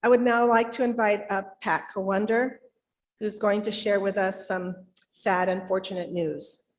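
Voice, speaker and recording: A woman's voice: medium pitch, fairly even intonation, a little slow, a little hiss or hum. A middle-aged or older woman leading a church service, addressing the congregation in a large auditorium. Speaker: service leader. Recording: long hall reverb.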